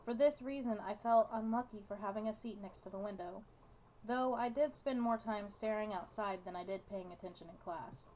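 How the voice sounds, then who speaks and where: A woman's voice: medium pitch, moderate pace, a little mellow, a little hiss or hum, rough recording. A young woman hosting a gaming video, chatting casually and reading game text aloud.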